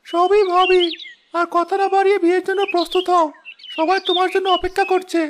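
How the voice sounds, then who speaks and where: Casting: very high, thin-voiced cartoon sidekick, loyal and cautious, over clear background music. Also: little pitch movement, a little fast, a bit bright. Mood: coaxing. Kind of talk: animated fairy-tale dialogue.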